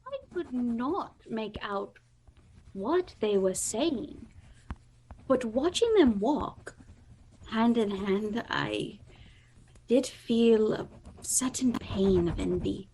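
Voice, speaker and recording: An actress playing a sheltered, wistful young gentlewoman, telling her story formally in a radio drama. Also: fairly high pitch, lively intonation, drawn-out words, long pauses, slight background noise, full character voice, poor audio. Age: young adult. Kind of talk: radio drama narration and dialogue.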